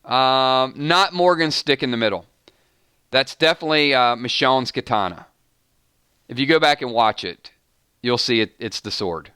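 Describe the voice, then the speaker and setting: Bright, crisp-voiced man hosting a live stream. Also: mid-range voice, moderate pace, no echo, studio quality. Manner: animated, breaks off mid-sentence with repeated "hold on" and "wait a minute". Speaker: fan channel host. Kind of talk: live-stream commentary reading chat.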